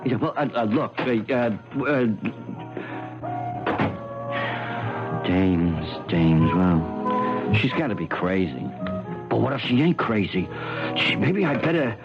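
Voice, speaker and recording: Animated, uneasy American barroom fellow. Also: male voice, lowish voice, measured pace. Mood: anxious dithering. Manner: colloquial, hesitant, swooping. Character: plain-spoken, humoring barroom fellow, hesitant and uneasy. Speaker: actor in a radio mystery drama. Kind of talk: 1970s American radio drama acting. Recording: dull old radio broadcast, loud music behind.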